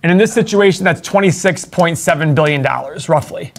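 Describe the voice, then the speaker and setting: Higher-voiced male stock analyst explaining. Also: brisk, vocal fry. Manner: explains step by step, asks rhetorical questions. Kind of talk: casual finance chat.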